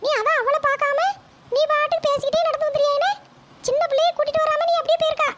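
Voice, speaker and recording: A woman playing an angry village mother, scolding her son at speed. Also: steady tone. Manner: rapid shrill scolding. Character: angry mother, daughter-in-law, loud and quick to blame. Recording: loud noisy recording with clicks.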